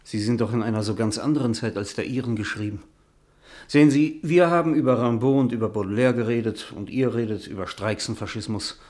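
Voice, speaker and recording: A man's voice: mid-range voice, fairly quick, darkish tone, lightly acted. An actor in a German radio play, playing a world-weary, proud, old-fashioned male novelist who speaks in a cultured, ironic, hesitant way. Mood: nostalgic, reflective.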